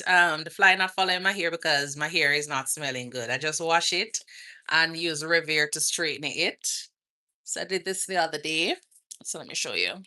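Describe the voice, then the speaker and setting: A woman with a Jamaican accent talks casually in a livestream Q&A, greeting her viewers by name. Her voice sits a little low but sounds bright and forceful. She is recording outdoors. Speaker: vlogger and nurse.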